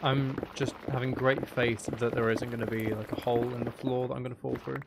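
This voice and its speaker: A man's voice: mid-range voice, little pitch movement, a little fast, creaky. Man thinking aloud over gameplay, noisy background. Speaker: gaming video host. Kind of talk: casual gameplay commentary, talking to self.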